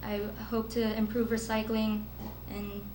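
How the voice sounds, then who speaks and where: A woman's voice: on the high side, completely flat delivery, unhurried, a little mellow, a little hiss or hum. A teenage girl from the Philippines giving an earnest prepared speech as a student speaker at a school event. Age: teens. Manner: earnest, with nervous laughs. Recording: echoey room with faint ambience.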